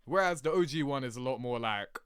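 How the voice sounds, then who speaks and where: A man's voice: mid-range voice, animated, fairly quick, fairly bright tone, clear, resonant tone, no room sound, studio quality. A young man giving casual, slangy reaction commentary as a gaming host, with swearing and verbal tags. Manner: slangy, swearing, repeats "you know what I mean".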